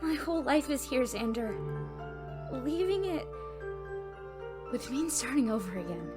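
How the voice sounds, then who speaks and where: A woman's voice: sits high, animated, measured pace, over loud music. An actress playing a guarded, sardonic young woman in an audio roleplay drama, with a dry, exasperated delivery. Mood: upset, disbelieving. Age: young adult.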